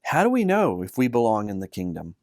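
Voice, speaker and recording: A man's voice: lowish voice, huge pitch swings, fairly quick, darkish tone, no echo, clean studio sound. A man voicing a wistful young girl who asks questions in a storybook tale. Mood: questioning. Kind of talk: storybook dialogue.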